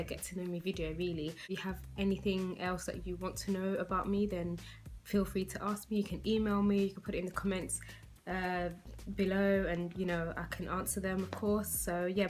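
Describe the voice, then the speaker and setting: A woman in her twenties from London, with a London accent, chatting casually to her viewers in a rambling way, full of "like" and "you know". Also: fairly low pitch. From London, England. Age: 20s. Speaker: beauty vlogger.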